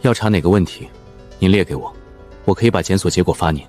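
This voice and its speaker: A man playing a principled, calm young lawyer in an animated drama, measured and plain-spoken, firm but considerate. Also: sits low, soft background music, close to an everyday voice.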